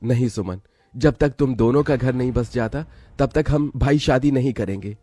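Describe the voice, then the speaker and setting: A man's voice: medium pitch, moderate pace, warm tone, no room sound, lightly acted. A man voicing two poor, devoted older brothers in a cartoon, speaking in an earnest, emotional way. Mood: tender. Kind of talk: cartoon voice acting.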